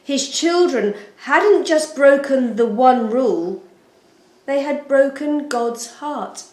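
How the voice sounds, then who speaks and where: A woman storyteller giving an expressive, solemn and deliberate narration of a scripted children's Bible story. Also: fairly high pitch. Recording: roomy, echoing sound.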